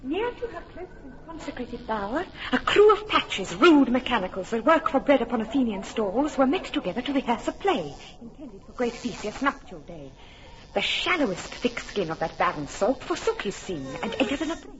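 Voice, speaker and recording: A woman's voice: a little high, very animated, moderate pace, dull audio, a crowd in the background. An actress voicing a mischievous fairy sprite in a classic radio drama, gleeful and teasing in her delivery. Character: mischievous impish fairy sprite, playful and quick.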